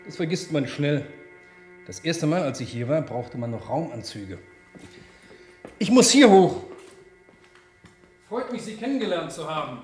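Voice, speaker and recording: An actor playing a chatty, easygoing space traveler in a radio play. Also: male voice, on the high side, big pitch swings, measured pace, pauses often, darkish tone, reverberant, faint music behind.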